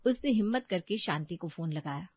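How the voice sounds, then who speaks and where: A woman's voice: lowish voice, lively intonation, brisk, husky, no echo, highs cut off. A woman reading scripted storytelling narration as the narrator.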